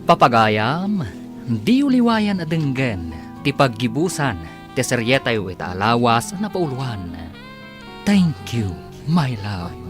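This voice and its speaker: A male radio drama announcer with an Ilocano accent. His delivery is slow and sweeps widely up and down in dramatic scripted narration, over a clear music bed. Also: mid-range voice, sing-song.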